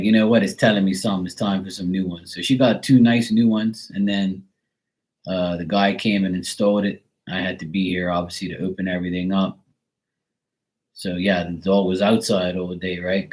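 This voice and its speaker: Man from Bermuda, stream host, loose chatty rambler, a touch low in pitch. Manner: rambling, chatty storytelling with frequent fillers. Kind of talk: casual chat.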